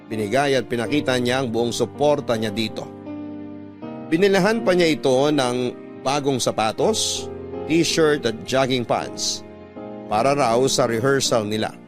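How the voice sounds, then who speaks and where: A man reading as a wistful, hurt young university student in a sentimental, measured radio love-story narration. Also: mid-range voice, close to an everyday voice. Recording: music bed behind the voice.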